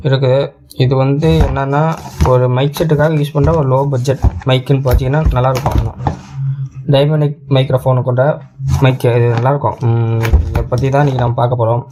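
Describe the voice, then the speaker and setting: A man's voice: mid-range voice, noisy background. A man giving a casual, colloquial product review, explaining things repetitively to viewers as a tech channel host.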